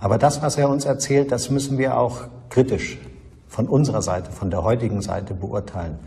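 A man giving an expert explanation in an interview, deliberate and repeating phrases for emphasis. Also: mid-range voice, vocal fry, mellow.

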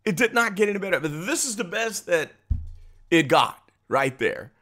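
A man's voice: high voice, big pitch swings, moderate pace, bright, forceful tone. A middle-aged American man giving casual, emphatic, exclamatory reaction commentary as a channel host.

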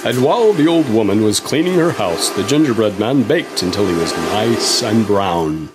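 A woman's voice: a bass voice, lively intonation, normal speed, background noise. A woman narrating a children's puppet show as a storyteller, reading from a script over music. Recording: music under the voice.

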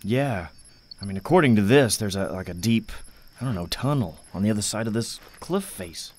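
A man's voice: on the low side, expressive, normal speed, darkish tone. A man playing a casual, slightly unsure army tech sergeant in an audio drama, explaining things informally. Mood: puzzled. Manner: hesitant, informal technical explaining.

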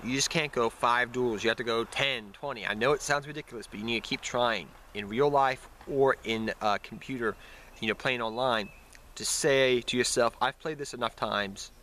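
A man's voice: mid-range voice, pushed and bright, slight background noise. Young man, stuffy nose from a cold. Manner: rambling, self-correcting, addresses viewers as guys. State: nasal from a head cold. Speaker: card game vlogger. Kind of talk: casual talk to camera. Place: outdoors in snowy woods. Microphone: built-in camera mic at close range. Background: passing cars and planes.

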